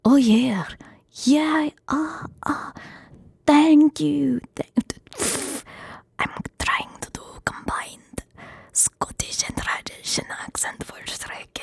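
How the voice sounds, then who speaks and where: Slow, soft-whispering ASMR streamer woman. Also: high voice, gravelly. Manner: playful, jokey broken English in a put-on Russian accent. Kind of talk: whispered script reading and chat. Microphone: streaming microphone.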